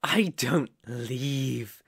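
A man playing a sadistic, obsessive young kidnapper in an ASMR roleplay, gloating with menacing, mocking, drawn-out phrasing. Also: medium pitch, fairly bright tone, breathy edge, no room sound, studio quality, exaggerated voice.

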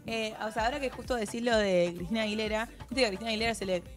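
A woman's voice: fairly high pitch, faint music behind. A woman in her thirties with an Argentine accent, hosting a TV interview in a studio with a handheld mic, gushing and enthusiastic. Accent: Argentine Spanish. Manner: gushing, enthusiastic, quick-talking. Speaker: TV host. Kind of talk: casual TV interview. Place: TV studio set.

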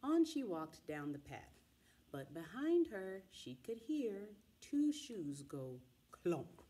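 Middle-aged woman, lively swooping intonation, unhurried, dramatic children's read-aloud. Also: mid-range voice, a little hiss or hum. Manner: dramatic, with repeated drawn-out sound words. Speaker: teacher reading a picture book aloud. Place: small indoor room with wall cards and pillows.

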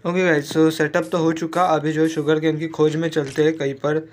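A man, hoarse from a cold, chatting casually as he narrates his own game play and mixes English words into Hindi. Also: on the high side, flat delivery, normal speed, a little hiss or hum. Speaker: gaming YouTuber.

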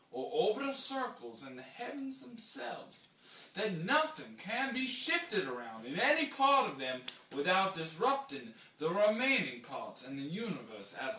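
A young man with a bright, swooping voice reads a formal old text theatrically. His phrasing halts and restarts for effect. The sound is roomy and distant, from a camera across a small room. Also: high-pitched. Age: young adult. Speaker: reader taking turns in an informal group reading. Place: small bare room indoors. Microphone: camera mic from a few metres.

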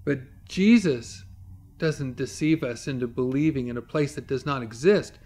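A man in his fifties teaching from the Bible to camera in an emphatic, explanatory preaching style, with dramatic pauses. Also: mid-range voice, a little mellow.

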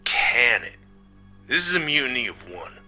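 A man's voice: medium pitch, big pitch swings, normal speed, pushed and bright, soft background music. A man playing a bitter, mutinous spaceman in a story reading, sardonic and goading in manner. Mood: angry, taunting. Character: bitter, mutinous male spaceman, taunting and spiteful.

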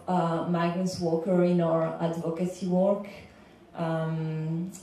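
A young woman with a Belgian accent giving an earnest, halting semi-prepared speech on a panel as a legal consultant. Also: on the low side, warm tone. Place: indoor lecture room panel. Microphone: handheld microphone, recorded by far camera. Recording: echoey room, distant, faint background noise.

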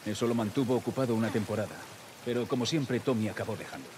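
A man's voice: fairly low pitch, fast, background noise, lightly acted. A man playing a terse, guarded middle-aged survivor in a video game, with a Castilian Spanish accent. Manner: terse, gruff. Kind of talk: naturalistic video game acting.

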